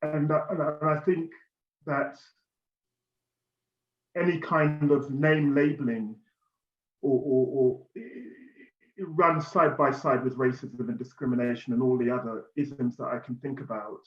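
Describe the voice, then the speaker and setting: A man's voice: medium pitch, unhurried. A British man speaking earnestly on a panel, repeating words as he stresses his points. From United Kingdom. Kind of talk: panel discussion. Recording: echoey, muffled room sound.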